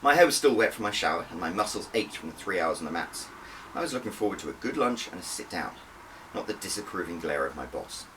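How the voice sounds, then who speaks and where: A man's voice: low-pitched, very animated, quick pace, fairly bright tone. A man reading his own first-person audiobook with dramatic, scripted narration. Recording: distant mic with faint background noise.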